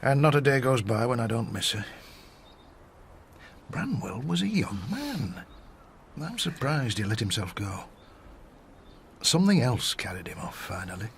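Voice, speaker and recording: A retired Victorian country doctor in a radio play, a wistful and courteous widower. His voice is medium in pitch and he speaks reflectively, pausing as he goes. There is noticeable background noise. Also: big pitch swings. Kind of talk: radio drama dialogue.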